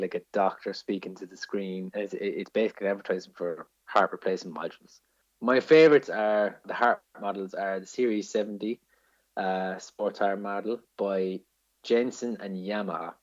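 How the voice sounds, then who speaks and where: A low-voiced Irish man chats about films as a podcast co-host. He explains things with a geek's enthusiasm and swears freely. The audio is a little dull and lo-fi. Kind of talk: casual film discussion.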